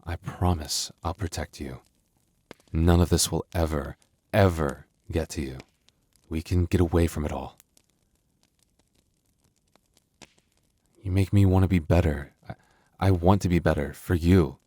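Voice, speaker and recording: Man playing a very deep-voiced, gentle werewolf boyfriend. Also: steady tone, normal speed, no room sound. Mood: tender, devoted. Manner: soft, earnest, hesitant, stammering. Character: gentle young male werewolf boyfriend with regretful past. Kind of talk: intimate ASMR boyfriend roleplay.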